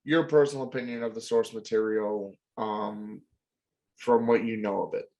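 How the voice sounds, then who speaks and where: A man's voice: fairly low pitch, steady tone, moderate pace, warm tone, highs cut off. A young man co-hosting a casual chat, explaining at length with many fillers and hedges. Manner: explanatory, hedging, lots of fillers. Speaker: podcast co-host.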